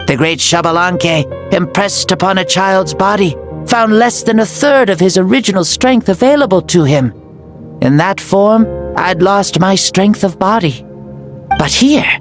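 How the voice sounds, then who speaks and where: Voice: medium pitch, close to an everyday voice. An actor voicing an ancient, proud male warrior hero who speaks grandly through a boy's body in a video game. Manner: grandiose, declamatory. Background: game music.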